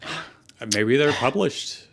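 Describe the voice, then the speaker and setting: A man's voice: mid-range voice, expressive, slow pace, gravelly, fairly bright tone. A man chatting casually and joking with a group as a panelist. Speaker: fan fiction show panelist.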